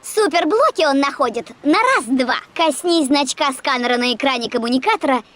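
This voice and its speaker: An actress playing a calm, helpful young woman tech dispatcher giving instructions in a video game dub. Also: high voice, brisk.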